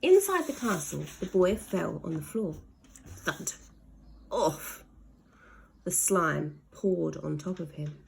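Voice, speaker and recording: A woman's voice: fairly low pitch, animated, takes it slowly, vocal fry, pauses often, slight background noise, poor audio. A woman narrating a children's story aloud in a dramatic way, leaning hard on sound words. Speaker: storybook reader.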